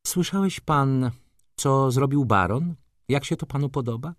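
A man voicing an imperious, flirtatious society lady from a novel, commanding and theatrical, quick to scornful indignation. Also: medium pitch, dry recording, studio quality, voice pushed up, lightly acted. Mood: agitated.

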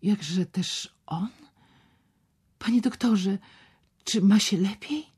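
A woman's voice: fairly high pitch, unhurried, mellow, breathy edge, voice pushed up. A woman voicing a timid young woman in love who pleads in a whisper. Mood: pleading.

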